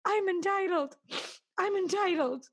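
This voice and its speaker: Woman acting a high-pitched, frantic invalid wife. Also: measured pace, a little hiss or hum. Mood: anguished, distraught. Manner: stammering, pleading, demanding. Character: frantic, self-pitying, imperious invalid wife. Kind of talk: 1940s radio thriller acting.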